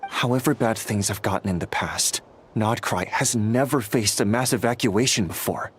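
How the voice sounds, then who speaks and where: A man's voice: lowish voice, moderate pace, a bit bright, a little hiss or hum, lightly acted. An actor voicing an earnest, protective young man in a game, who talks bluntly and grows exasperated. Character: earnest, anxious young male master, protective. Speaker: game character.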